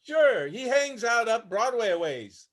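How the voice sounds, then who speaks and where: A man's voice: extremely high pitch, sing-song, a little slow, a bit bright, full character voice. A man acting a haunted, homeless older Vietnam veteran, weary and bitter, telling his story plainly in a staged play reading. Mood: resigned, friendly. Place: online video call reading.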